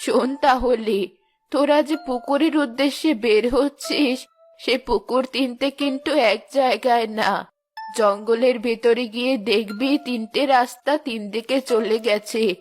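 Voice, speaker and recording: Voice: medium pitch, faint music behind, lightly acted. A performer voicing a kindly old magical village woman in a cartoon, talking affectionately like an elder. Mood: calm, instructive. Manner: slow, affectionate elder addressing young girls. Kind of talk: cartoon voice acting.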